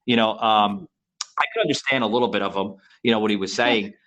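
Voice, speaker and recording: A man from Florida co-hosting a podcast interview, talking in a halting way full of restarts and "you know" fillers. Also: on the low side, a bit bright, rough recording. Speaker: podcast co-host. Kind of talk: casual interview chat.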